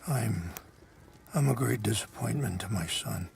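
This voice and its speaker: An actor playing a gentle, weary old chief and peacemaker in a game cutscene, speaking in a measured, reflective way with pauses. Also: male voice, fairly low pitch, expressive, gravelly, a little hiss or hum, heavily acted. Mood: reflective, sorrowful. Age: older. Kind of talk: video game cutscene acting.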